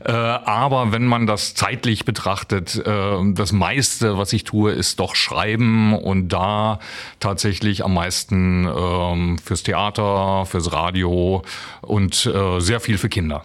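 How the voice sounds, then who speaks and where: A man's voice: low-pitched, fairly even intonation, slow. A man from Germany, a guest in a radio studio interview, speaking hesitantly with many ums and a wry tone. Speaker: guest, writer and musician.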